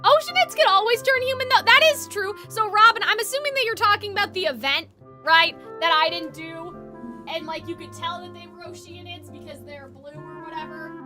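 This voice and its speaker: A woman's voice: very high-pitched, fairly quick, sharp tone. A woman giving chatty, rambling, reactive commentary while streaming a game. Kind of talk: casual live gameplay commentary. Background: music.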